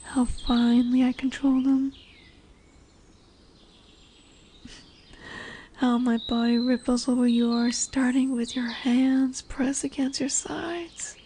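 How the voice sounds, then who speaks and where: A woman voicing a dominant but tender lamia in a fantasy roleplay audio drama, speaking softly and soothingly, often dropping into whispers. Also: high-pitched, steady tone, takes it slowly, a little hiss or hum. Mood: tender, possessive. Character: dominant, tender female lamia, snake-bodied forest monster.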